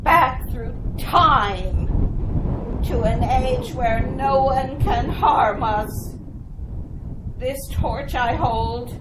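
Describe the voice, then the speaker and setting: A woman's voice: fairly high pitch, drawn-out words. A woman playing a possessed, vengeful wife in an old-time radio horror play, speaking in a solemn, archaic, dramatic way. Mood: eerie, resigned. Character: possessed wife, vengeful, doom-laden 18th-century spirit. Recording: roomy, distant pickup with faint noise.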